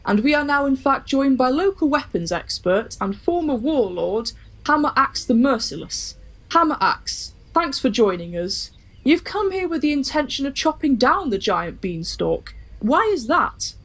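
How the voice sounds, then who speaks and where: A woman's voice: high voice, very animated, a little slow, fairly bright tone, exaggerated voice. A woman playing a polished live radio news reporter in a comic pantomime. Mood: bright, professional. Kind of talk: parody radio news presenting.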